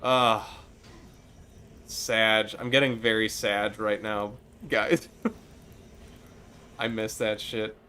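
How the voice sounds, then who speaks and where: Man, Midwestern accent, young adult, game streamer, slow drawl, casual and swearing. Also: fairly low pitch, fairly even intonation, pushed and bright, slight background noise. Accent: Midwestern American. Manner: slow, nostalgic, swears casually, laughs often. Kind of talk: casual gameplay commentary.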